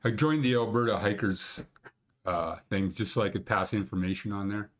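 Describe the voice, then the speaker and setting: A man's voice: on the low side, normal speed. A man in his sixties with a Canadian accent rambles through a casual talk over his screen, tossing in blunt and sometimes crude jokes. He talks from a small room at home into a webcam mic on his desk. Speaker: mountain conditions show host. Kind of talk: casual screen-share commentary.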